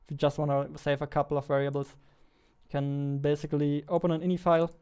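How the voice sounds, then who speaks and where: A young man talks casually as he streams his game development work. His voice is fairly high for a man and quite smooth, with little rise or fall in pitch. He thinks aloud haltingly, often half to himself. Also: little pitch movement, darkish tone. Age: 20s. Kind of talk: casual livestream chat while working. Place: indoor room at a computer, keyboard clicks behind.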